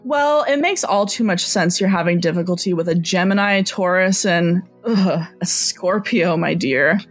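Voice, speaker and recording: A woman's voice: lowish voice, moderate pace, faint music behind, lightly acted. A woman playing a theatrical astrologer who answers a call-in hotline, talking in dramatic, flowery phrases. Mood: mystical. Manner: flowery, dramatic, pet names. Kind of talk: scripted comedy sketch.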